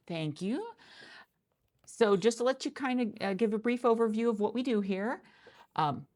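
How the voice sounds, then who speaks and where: A woman with a low-pitched voice and plenty of vocal fry plays an interviewer in an ASMR roleplay. She speaks conversationally, feeling her way through sentences with halting, repeated phrases.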